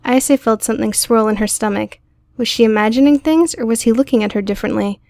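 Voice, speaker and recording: A woman's voice: fairly high pitch, fast talker, darkish tone, no room sound, studio quality. A woman reading scripted fiction as an audiobook narrator.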